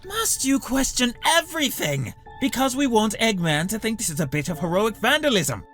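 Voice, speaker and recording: A man's voice: high-pitched, sing-song, moderate pace, fairly bright tone, roomy sound, soft background music. A man playing a proud, scheming villain scientist with pompous, theatrical speech in a cartoon comic dub. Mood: commanding. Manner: pompous, elaborate articulate speech.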